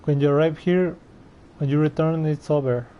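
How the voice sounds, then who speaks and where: A man's voice: a little high, steady tone, normal speed, muffled recording. A man giving casual commentary while streaming a video game.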